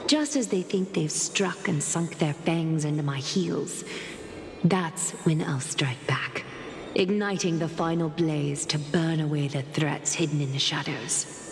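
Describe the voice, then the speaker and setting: An actress playing a dignified, composed woman leader in a game, speaking formally and tenderly in a solemn, measured way. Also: low voice, noisy background. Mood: solemn resolve. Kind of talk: scripted dramatic game voice acting, monologue. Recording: echoey hall reverb with music behind. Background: music.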